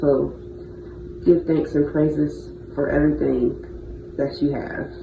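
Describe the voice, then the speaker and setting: A thirty-year-old vlogger speaks in a mid-pitched voice with plenty of fry. The talk is casual, reflective and unscripted, halting as it finds its way. The audio is dull and lo-fi, with clear vehicle-like noise behind it. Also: level tone. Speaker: bioengineering student. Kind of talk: casual unscripted personal vlog.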